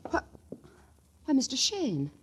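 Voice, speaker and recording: A woman's voice: high voice, huge pitch swings, takes it slowly, exaggerated voice. A genteel young lady in an old 1940s radio drama, on a slightly noisy, clicking recording. Her melodic voice rises and falls widely, polite and solicitous. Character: genteel, solicitous young New Orleans woman.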